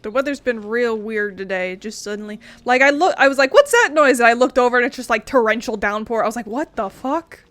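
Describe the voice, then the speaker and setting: A woman's voice: on the high side, brisk, hardly any pauses, fairly bright tone. A woman hosting a gaming video with casual commentary, informal, playful and sweary.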